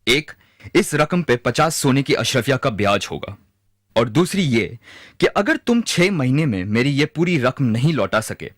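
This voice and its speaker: A man's voice: medium pitch, very animated, moderate pace, a bit bright, no room sound, studio quality. A man playing a greedy, ruthless moneylender in a moral-story cartoon, stern and threatening. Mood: cold, demanding. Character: greedy, ruthless male moneylender, cold and menacing. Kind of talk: animated moral-story dubbing.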